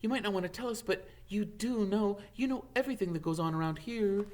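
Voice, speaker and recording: A man voicing a curious young schoolgirl in a storybook, inquisitive and flattering. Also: sits high, fairly quick, pitched above the performer's own voice, natural voice. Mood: coaxing.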